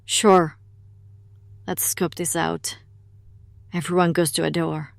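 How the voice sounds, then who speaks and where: A woman playing a frightened, guilt-ridden daughter in a horror audio drama, with a halting, emotionally raw delivery. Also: fairly low pitch, level tone, vocal fry, dry recording, clean studio sound. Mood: wary resolve.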